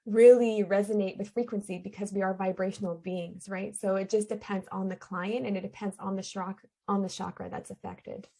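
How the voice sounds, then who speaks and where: A woman's voice: medium pitch, steady tone, fairly quick, gravelly, hardly any pauses, warm tone. A woman from Canada, a guest on an interview podcast, earnestly explaining her spiritual healing practice in a conversational way. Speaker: interview guest, shaman and energy healer.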